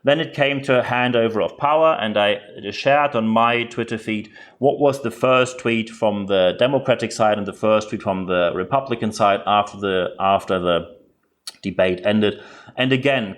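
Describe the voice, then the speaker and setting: A man's voice: fairly low pitch, fairly even intonation, normal speed. A man with a German accent, speaking English as a second language, hosting a podcast and giving direct, earnest commentary with frequent repeated words. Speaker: podcast host.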